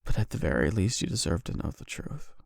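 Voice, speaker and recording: A young man playing a nervous, lovestruck online friend in an intimate ASMR roleplay, speaking haltingly and awkwardly with self-doubt. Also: low voice, sing-song, gravelly, no echo, clean studio sound, full character voice. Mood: earnest, vulnerable.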